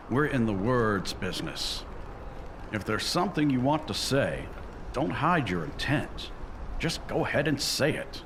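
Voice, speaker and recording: An actor playing a stern older uncle and newspaperman with a deadpan, deliberate delivery in a comic dub. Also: lowish voice, noisy background. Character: stern, dry-witted older uncle, plain-spoken newspaperman. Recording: music behind the voice.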